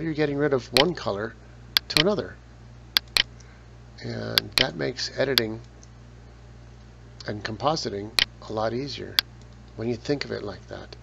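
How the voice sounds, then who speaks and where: Gravelly man narrating a software tutorial. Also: mid-range voice, a little slow, long pauses. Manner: relaxed, explains step by step with casual asides. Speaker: photo editing tutor. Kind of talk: screen-recorded software tutorial. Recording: dull lo-fi voice with loud noise.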